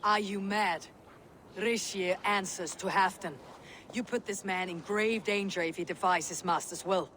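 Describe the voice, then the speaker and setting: A man's voice: sits high, fairly even intonation, measured pace, sharp tone, slight background noise. An actor playing a stern, blunt male Viking warrior in a game cutscene. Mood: disapproving. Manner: blunt, disapproving. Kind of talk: video game cutscene acting.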